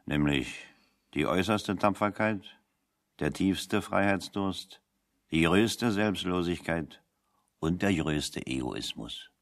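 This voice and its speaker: A shrewd, plain-spoken refugee worker in a radio drama. His voice sits very low, and he speaks with sly, mock-formal solemnity. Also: expressive, slow, full character voice. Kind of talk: 1970 German radio play dialogue.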